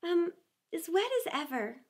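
A woman's voice: very high-pitched, huge pitch swings, normal speed, pushed and bright, pitched above the performer's own voice, full character voice. A woman voicing a polite, plaintive little girl in a storybook. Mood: melancholy. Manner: plaintive, curious.

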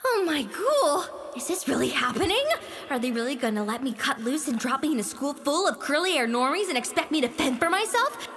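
A woman playing an excitable, dramatic teenage monster girl in an audio drama, with a gushing manner. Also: high voice, fairly quick, background noise. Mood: stunned, excited. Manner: gushing, dramatic, breathless lists. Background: music.

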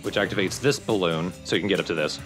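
A man's voice: deep voice, fast, music in the background. A man giving casual let's play commentary, explaining and joking along.

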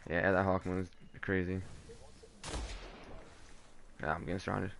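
A man making quick, excitable callouts to teammates during an online shooter match. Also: low-pitched, gravelly, a crowd in the background. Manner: excitable, laughing, swearing. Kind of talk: rapid game callouts.

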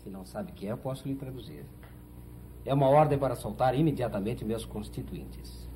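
A man's voice: mid-range voice, fairly quick, dark tone, natural voice. A Brazilian man playing a pompous, slippery, very formal lawyer in a 1970s telenovela. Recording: noisy old 1970s TV soundtrack.

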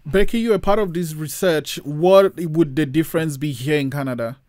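Higher-pitched man hosting a podcast. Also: moderate pace, a little mellow, no room sound, studio quality. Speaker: podcast host. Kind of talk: hosting a panel interview, introductions. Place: indoors on a video call.

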